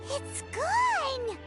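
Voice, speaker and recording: A woman voicing a tiny, excitable, childlike fairy companion in an anime game, squeaky, curious and exclamatory. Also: exaggerated voice. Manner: exclamatory, wide-eyed. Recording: music playing behind.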